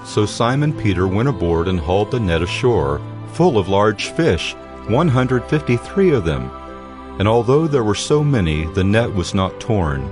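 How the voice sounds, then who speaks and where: Low-voiced male Bible narrator. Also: very animated, normal speed. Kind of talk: scripted scripture narration. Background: music.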